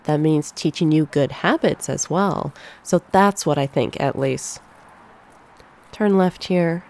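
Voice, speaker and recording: A woman playing a teasing, caring succubus teacher in a soft ASMR roleplay on a close binaural microphone. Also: low-pitched, takes it slowly. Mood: earnest, encouraging. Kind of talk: ASMR roleplay, one-sided conversation.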